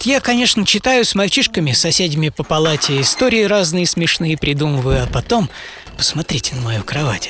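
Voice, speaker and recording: A man's voice: on the high side, sharp tone. An actor playing a bedridden eleven-year-old boy who dreams of racing, in a theatrical radio monologue told in short clipped phrases. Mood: dreamy, eager. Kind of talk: dramatic first-person monologue in radio play.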